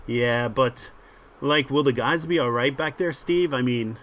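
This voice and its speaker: A man voicing an anxious crook's sidekick in a comic book read aloud, halting and questioning. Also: medium pitch, a bit bright, natural voice. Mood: worried.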